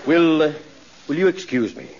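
An actor playing a pompous, easily confused mayor who stammers and sputters in exasperation, in a 1940s radio comedy. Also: male voice, a little high, very animated, normal speed, darkish tone. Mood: flustered. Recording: dull 1940s radio recording, studio audience.

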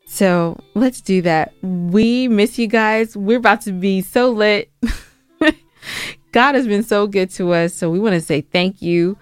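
Smooth-voiced woman in casual podcast chat. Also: medium pitch, takes it slowly, no echo, soft background music. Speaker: podcast co-host.

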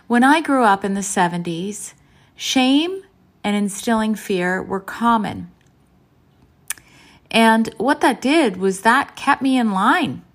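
Slow, reflective woman in her fifties. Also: fairly high pitch, animated. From Canada. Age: 50s. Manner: reflective, open and thoughtful. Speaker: nutrition and lifestyle vlogger. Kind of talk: candid casual talk to camera.

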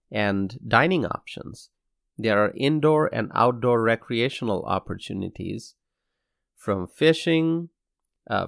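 A young man from Bangladesh hosting his own podcast, speaking in an earnest, measured scripted monologue. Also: on the low side, gravelly, fairly bright tone, dry recording, clean studio sound. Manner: measured, earnest, slow deliberate delivery. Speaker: podcast host.

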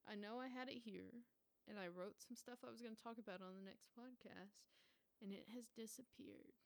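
A woman's voice: fairly high pitch, normal speed, vocal fry. Woman, mid-twenties, solo podcast host; loose, profane, rambling delivery. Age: 20s. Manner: casual, profane, rambling with fillers. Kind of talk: casual solo podcast rant.

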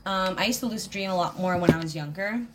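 A woman's voice: on the low side, fast talker, noisy background. A woman co-hosting a podcast, chatting casually and rambling, swearing freely. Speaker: podcast co-host. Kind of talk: casual chat.

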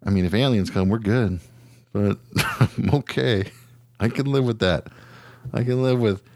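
A man's voice: lowish voice, a little slow, darkish tone, no room sound. Exasperated, sarcastic American man, dry close sound. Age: adult, parent of children. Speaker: podcast co-host. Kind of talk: casual comic rant.

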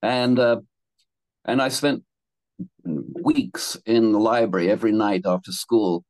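A man's voice: lowish voice, lively intonation, takes it slowly, creaky, a little mellow, no echo, poor audio. Rambling older man from England. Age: 70s. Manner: rambling, laughs between thoughts, many restarts. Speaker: guest. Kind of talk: casual interview storytelling.

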